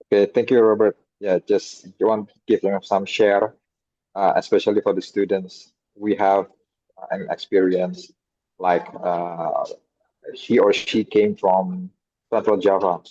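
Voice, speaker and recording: Husky, low Indonesian-accented man. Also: level tone, dark tone, no echo. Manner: halting, hesitant English. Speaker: webinar host. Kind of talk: moderating a webinar. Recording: dull, lo-fi dry sound.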